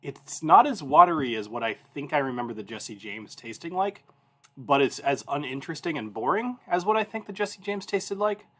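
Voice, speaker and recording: A man's voice: on the high side, expressive, moderate pace, fairly bright tone. A man in his thirties hosting a whiskey review, chatting informally with viewers, rambling and often interrupting himself as he goes. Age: 30s. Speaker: whiskey review show host. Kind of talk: casual chat and anecdotes to a livestream audience.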